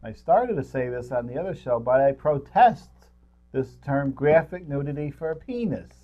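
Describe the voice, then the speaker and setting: An older man with a mid-pitched voice co-hosts a casual film-review show. He talks in a loose, rambling way, and the recording is slightly dull and lo-fi. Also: expressive, moderate pace. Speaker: co-host. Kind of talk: casual movie-review chat.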